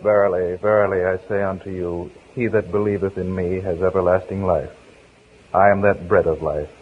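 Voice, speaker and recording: An actor playing a solemn prison minister reciting formally in 1950s radio acting style. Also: male voice, low voice, fairly even intonation, moderate pace, lightly acted. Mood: reverent. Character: patient male prison minister reading scripture aloud, solemn. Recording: noisy, tinny 1950s radio broadcast.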